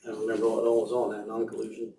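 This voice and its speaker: A man with a hoarse, fading voice presenting bids at a county meeting, reading figures slowly and repeating them. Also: on the low side, monotone, warm tone, a little hiss or hum. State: hoarse, losing his voice. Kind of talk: public meeting report. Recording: echoey room, mic at a distance.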